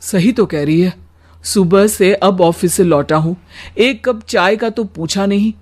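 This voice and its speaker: A woman's voice: mid-range voice, measured pace, darkish tone, close to an everyday voice. A woman voicing a weary, put-upon husband in a cartoon story, plaintive and sighing. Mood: tired, annoyed.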